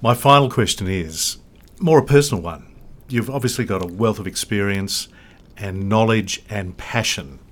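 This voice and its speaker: A man's voice: lowish voice, normal speed. A man hosting a podcast, asking polite questions and reading a tidy sign-off. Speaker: podcast host. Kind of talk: podcast interview and outro.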